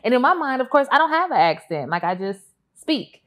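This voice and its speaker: A woman's voice: fairly high pitch, big pitch swings, brisk, a bit bright. An American woman in her thirties hosting a casual interview in a studio, teasing and laughing often. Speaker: interview show host. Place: studio set with lights.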